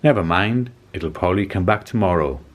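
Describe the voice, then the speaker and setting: A man's voice: low-pitched, lively intonation, a little fast. A man voicing a hungry, scheming but easily cowed troll in a children's storybook. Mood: eager, scheming.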